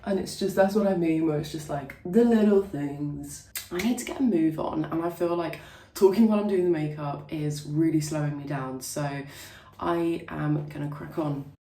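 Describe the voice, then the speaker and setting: Low-voiced chatty woman vlogging. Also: moderate pace, dark tone, a little hiss or hum. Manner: chatty and upbeat, fillers like "like" and "literally". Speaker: vlogger and public speaker. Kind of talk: casual to-camera vlog chat.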